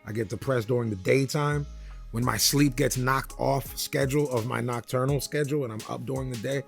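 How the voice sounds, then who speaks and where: Gravelly man speaking candidly, haltingly. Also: mid-range voice, steady tone, soft background music. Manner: halting, thinking aloud, blunt. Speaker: recording artist. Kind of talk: candid interview talk.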